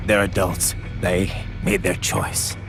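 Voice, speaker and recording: A man voicing a sarcastic cyborg ninja hero in a game cutscene, speaking dry and low. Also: little pitch movement, slow, loud music behind the voice. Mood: cold.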